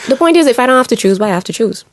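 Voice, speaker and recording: A woman's voice: on the high side, racing. A woman with a Sint Maarten Caribbean accent, chatting as a podcast guest and acting out what others say. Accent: Sint Maarten Caribbean English. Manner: animated, playful, repeats words, acts out others. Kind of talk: casual podcast chat.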